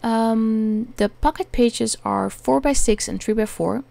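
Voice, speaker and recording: A Dutch woman with a Dutch accent, talking through a crafting tutorial in a hesitant way, often pausing with "um" while she searches for words. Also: fairly high pitch, vocal fry, mellow, dry recording. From Netherlands. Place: home attic.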